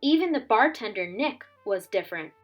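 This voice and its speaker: A woman reading a children's story aloud as a teacher would, with dramatic, expressive delivery. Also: medium pitch, moderate pace. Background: background music.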